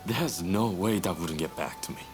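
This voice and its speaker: A man's voice: low voice, moderate pace, over music, lightly acted. A man playing a roguish, laid-back young revolutionary in a video game cutscene, with a teasing, nonchalant delivery. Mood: cool, wistful.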